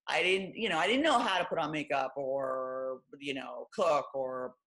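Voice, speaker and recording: A woman from Los Angeles with a deep, low voice, a former stand-up comic talking candidly and wryly as an interview guest. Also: normal speed, fairly bright tone. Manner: wry, self-deprecating, lots of fillers like "you know" and "like".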